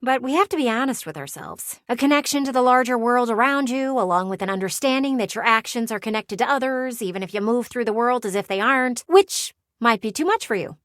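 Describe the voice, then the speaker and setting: An actress voicing a playful, sardonic living window in a video game, with a teasing, theatrical and blunt delivery. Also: female voice, on the high side, animated, fairly bright tone, no echo, clean studio sound. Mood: condescending, teasing. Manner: teasing, theatrical, blunt, sighs and drawn-out words. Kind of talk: video game character voice acting.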